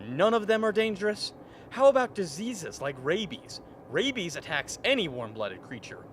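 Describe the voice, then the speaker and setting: An actor as an alarmed polar doctor arguing his case. His voice is fairly high and very animated, in radio play style, with faint noise. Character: alarmed, argumentative expedition doctor. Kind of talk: radio drama acting.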